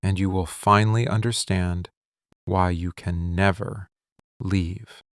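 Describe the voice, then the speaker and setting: A man playing a possessive, brooding mafia boss lover in a scripted boyfriend ASMR roleplay, menacing and commanding, with deliberate dramatic pauses. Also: sits low, fairly even intonation, no room sound, clean studio sound. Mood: menacing, controlled.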